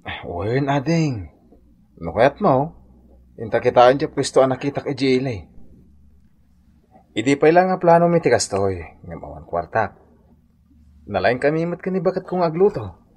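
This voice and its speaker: Man acting a humble, husky-voiced older brother. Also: medium pitch, very animated, moderate pace, pauses often, darkish tone. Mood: pleading. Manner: deferential, coaxing. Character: humble, pleading older brother asking his sister for capital. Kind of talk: radio drama acting in Ilocano.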